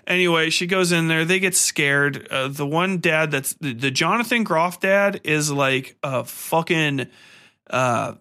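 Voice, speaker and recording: Guy podcaster ranting about a movie, kinda high sharp voice, blunt and sweary. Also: a little slow. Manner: blunt, irritated, swears constantly. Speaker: podcast host. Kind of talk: casual, profane film review rant.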